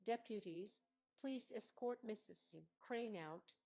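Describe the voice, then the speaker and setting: A woman narrator voicing a calm, unyielding sheriff with a composed, firm manner in a story. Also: medium pitch, takes it slowly, creaky. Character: calm, unyielding sheriff confronting a corrupt official.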